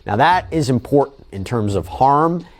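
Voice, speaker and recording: A man hosting legal news commentary, reading and explaining in an emphatic, deliberate way. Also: mid-range voice, lively intonation.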